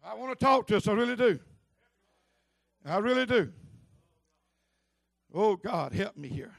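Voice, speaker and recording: A man with a Southern accent preaching at a church convention, rising from quiet talk to shouted preaching and calling out "come on" and "help me" to the congregation. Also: high-pitched, expressive, a little slow, creaky, no echo, clean studio sound. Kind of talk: Pentecostal revival sermon.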